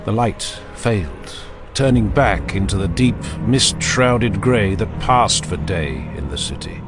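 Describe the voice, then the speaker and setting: A man narrating a dramatic audiobook in a solemn, deliberate storytelling manner. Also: on the low side, lively intonation, music in the background.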